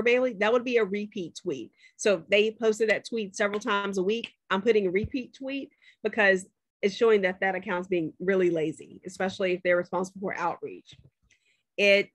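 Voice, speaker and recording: A woman's voice: mid-range voice, a bit bright, dry recording. Woman, professor, casual teaching talk, dry close sound. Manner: conversational, checks in with students. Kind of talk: screen-share class demo.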